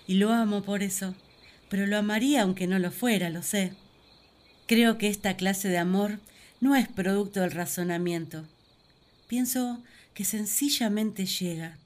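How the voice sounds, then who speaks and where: An actress playing a devoted, thoughtful wife in a radio play, speaking in an earnest, reflective, measured way. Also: female voice, mid-range voice, darkish tone, lightly acted. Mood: tender, reflective. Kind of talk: radio play monologue, dramatized diary.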